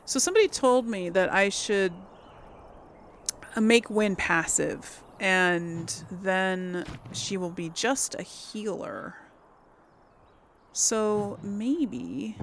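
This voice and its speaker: A woman's voice: mid-range voice, lively intonation, takes it slowly, long pauses, a little hiss or hum. A woman giving casual game commentary, thinking aloud and chatting to her viewers. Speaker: gamer hosting a let's play. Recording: game music behind the voice. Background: video game music.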